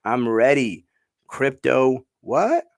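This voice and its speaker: A man's voice: mid-range voice, big pitch swings, slow pace, no room sound, studio quality. A man hosting a casual online chat, hyped and slangy, repeating his points. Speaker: host.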